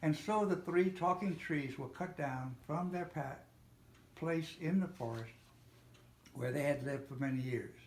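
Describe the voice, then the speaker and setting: An older man reading a children's storybook aloud, a little haltingly, with small slips and self-corrections. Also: on the high side. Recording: roomy, echoey home recording with faint noise.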